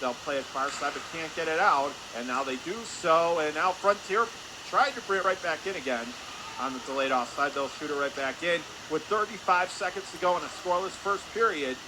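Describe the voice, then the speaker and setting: A man's voice: fairly high pitch, fairly quick. Man calling hockey over arena noise. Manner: clipped running phrases, calling action as it happens. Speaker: play-by-play radio commentator. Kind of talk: live ice hockey play-by-play commentary. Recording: clear steady arena noise behind voice. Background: hockey arena ambience.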